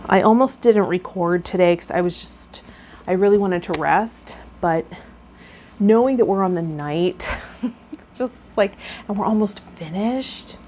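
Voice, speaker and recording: A woman's voice: mid-range voice, unhurried, warm tone. A woman speaking as a tarot vlogger and guide, explaining and chatting directly to viewers. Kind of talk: reading card meanings, then casual chat to viewers. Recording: clear background noise.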